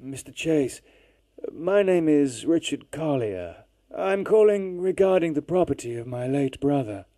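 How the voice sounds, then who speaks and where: A man voicing a quiet, formal, grieving middle-aged caller in an audiobook, speaking softly and politely. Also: a little high, steady tone, unhurried, few pauses, no echo, studio quality, pitched above the performer's own voice. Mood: subdued. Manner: subdued, formal, soft-spoken. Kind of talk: audiobook character voice.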